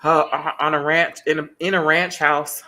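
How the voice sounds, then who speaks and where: A woman's voice: deep voice, level tone, unhurried, bright, forceful tone. A woman co-hosting a casual chat with her husband, playful and often laughing. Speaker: co-host.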